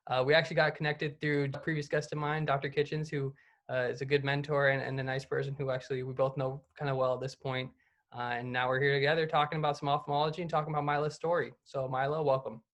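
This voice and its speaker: A man's voice: a little high, fairly bright tone. A young man in his twenties hosting an interview podcast, talking in a friendly, conversational way with a few fillers. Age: 20s. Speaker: podcast host. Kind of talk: casual interview.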